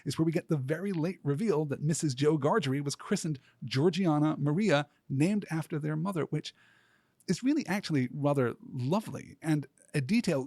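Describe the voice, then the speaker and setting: A man with a husky, slightly rough voice delivers scripted commentary on literature. His phrasing is measured and bookish, with deliberate pauses. The recording is close and dry. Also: fairly high pitch, dark tone, no echo. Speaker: host of a literature podcast.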